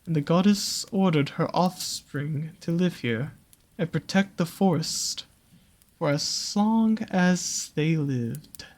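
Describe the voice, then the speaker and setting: A voice actor playing a sly, possessive naga monster in an intimate roleplay, speaking in an unhurried, soothing, coaxing way with doting pet names. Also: medium pitch, a little mellow. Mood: solemn storytelling. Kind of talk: slow intimate roleplay audio with storytelling.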